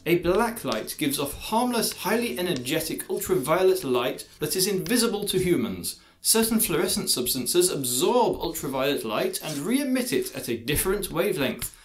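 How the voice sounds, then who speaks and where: A man playing a formal, guarded college teacher in an audio drama, choosing measured words. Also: on the high side, big pitch swings, a little hiss or hum. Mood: instructive. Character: male college science teacher, defensive, formal, secretive adulterer. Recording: music behind the voice.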